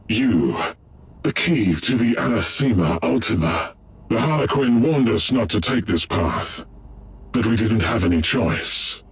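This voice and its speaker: An actor playing a proud, cryptic alien seer in a video game, with solemn, portentous delivery. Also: male voice, on the low side, gravelly, exaggerated voice. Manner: slow, portentous. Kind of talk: dramatic video game cutscene acting.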